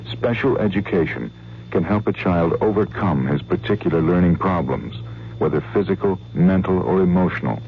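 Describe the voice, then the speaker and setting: An American man reading a scripted public service announcement. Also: rumbling low. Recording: thin phone-like radio sound, faint hum.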